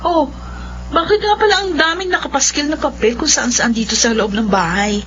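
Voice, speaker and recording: An actress playing a busy, practical and slightly impatient grown daughter in a Filipino radio drama. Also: female voice, high voice, fairly quick, a bit bright. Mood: casual, questioning. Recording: dull sound with faint hum and noise.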